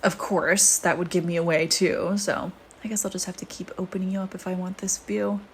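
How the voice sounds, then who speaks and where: A woman's voice: on the low side, fairly even intonation, a little fast, a little hiss or hum, lightly acted. A woman playing a flirty, teasing vampire in an intimate audio roleplay, with a sardonic, casual manner. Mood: teasing, predatory. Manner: sardonic, teasing, casual murmuring with sighs and whispered asides.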